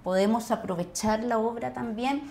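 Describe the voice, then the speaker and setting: A woman's voice: on the high side, moderate pace, creaky, darkish tone, slight background noise. A woman with a Chilean accent, an actress speaking casually as a podcast guest.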